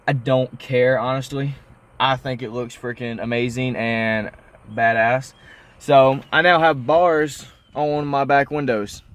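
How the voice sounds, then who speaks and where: A young man chats informally to his viewers as a car vlogger. His voice sits at an average pitch, and the sound is dry, as if inside a parked car. He rambles along in a folksy, unscripted way as he explains things. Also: dry recording. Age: young adult. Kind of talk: casual unscripted vlog.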